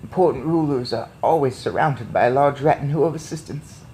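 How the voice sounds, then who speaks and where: A man voicing a loving, proud and crafty old Norwegian grandmother in a storybook, with measured, emphatic delivery. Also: medium pitch, mellow, slight background noise, lightly acted, low-quality recording. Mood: explaining.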